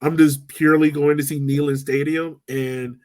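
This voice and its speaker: A man's voice: a little high, flat delivery, moderate pace, a little mellow. A man hosting a casual sports podcast in a joking, informal, slangy way. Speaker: podcast host.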